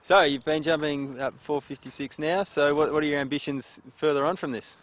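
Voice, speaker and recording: A man's voice: fairly high pitch, fairly even intonation, fairly quick, dull audio, slight background noise. A man interviewing an athlete, giving brief, encouraging prompts. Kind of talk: interview questions.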